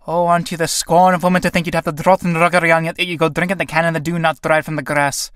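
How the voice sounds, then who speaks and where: A woman's voice: sits high, brisk, no room sound, studio quality, voice pushed up, natural voice. A woman playing a fierce young tinker woman. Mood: angry. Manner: forceful, angry, commanding.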